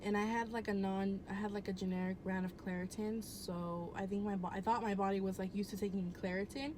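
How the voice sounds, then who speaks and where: A woman's voice: mid-range voice, steady tone, fairly quick. A young woman telling a personal story at home in a casual, rambling way, full of "um" and "like" and easy swearing. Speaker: vlogger. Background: air conditioner hum.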